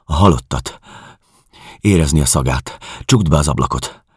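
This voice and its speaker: Man reading as an anxious brooding woman, husky. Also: a bass voice, lively intonation, dry recording, clean studio sound, exaggerated voice. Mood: anxious, pleading. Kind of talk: audiobook character dialogue.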